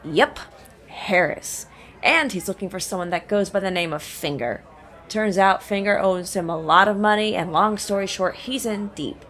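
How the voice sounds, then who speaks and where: A woman's voice: mid-range voice, normal speed, fairly bright tone, close to an everyday voice. A woman playing a tough, sardonic female bounty hunter in an audio drama, blunt and teasing. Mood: sly, teasing.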